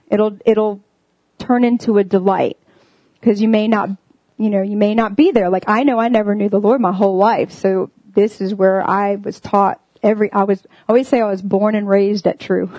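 A woman's voice: medium pitch, warm tone, dry recording, highs cut off. A young woman giving a casual, earnest sermon, filling her talk with "like" and "um". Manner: earnest, full of "like" and "um" fillers. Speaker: preacher.

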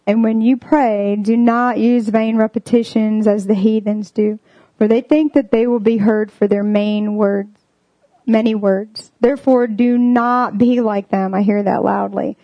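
A woman's voice: on the high side, fairly even intonation, measured pace, no echo, lo-fi sound. A young woman giving a casual, earnest sermon, filling her talk with "like" and "um". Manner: earnest, full of "like" and "um" fillers. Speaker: preacher.

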